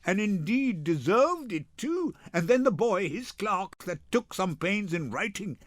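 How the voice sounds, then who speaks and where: A man playing a blustering, exasperated young gentleman husband, protesting defensively in rambling, theatrical Shakespearean verse. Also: high voice, big pitch swings, unhurried, no echo.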